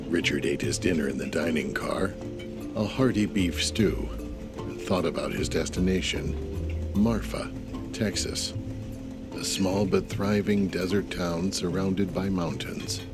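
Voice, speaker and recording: A man narrating an audio drama in a measured storytelling manner. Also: deep voice, fairly even intonation, loud music behind the voice.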